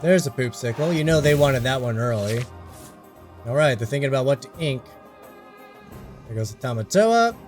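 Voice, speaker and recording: A man's voice: medium pitch, animated, moderate pace, long pauses, soft background music. A man commentating his card game play while sick and losing his voice, chatty as he explains his choices. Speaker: card game content creator. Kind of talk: casual gameplay commentary.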